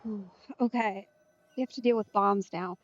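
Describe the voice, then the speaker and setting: A woman's voice: medium pitch, moderate pace, faint music behind. A woman giving casual let's play commentary as a streamer, informal and reacting to the game, on a slightly dull microphone.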